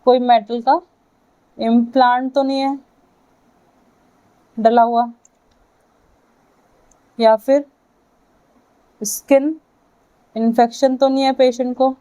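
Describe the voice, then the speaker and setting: A woman with an Indian accent giving a lecture to students, speaking in short halting phrases and checking in with her listeners. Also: fairly high pitch, level tone, mellow, rough recording. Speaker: physiotherapy lecturer.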